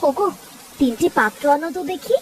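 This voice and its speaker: Woman acting a high-voiced, fretful village mother. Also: big pitch swings, a little fast, distant mic, slight background noise. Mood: fussing. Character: anxious, scolding poor village mother. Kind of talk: cartoon voice acting.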